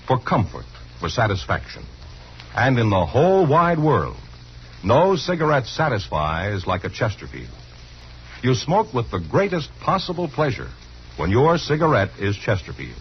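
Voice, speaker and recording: Male American vintage radio pitchman, hugely expressive intonation, emphatic and measured, muffled broadcast sound. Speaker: commercial announcer. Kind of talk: 1950s radio advertisement read. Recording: dull old radio broadcast, faint vehicle noise. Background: faint truck engine noise.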